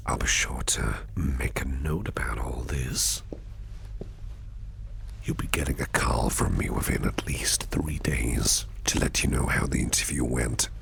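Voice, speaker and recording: A man playing a suave, protective, slyly teasing businessman boss in an ASMR roleplay, speaking in a gravelly, breathy half-whisper with slow, deliberate phrasing. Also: extremely low pitch, a little hiss or hum, full character voice. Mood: smooth, courteous. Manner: slow, deliberate, softly spoken with repeated phrases. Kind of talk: ASMR roleplay, personal attention.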